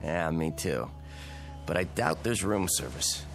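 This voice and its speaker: An actor playing Bob, a hungover husband in an old radio drama, grumbling and wisecracking in a dry tone. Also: male voice, rumbling low, expressive, measured pace, heavily acted. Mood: wry. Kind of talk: 1950s radio drama acting. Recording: old radio recording with music.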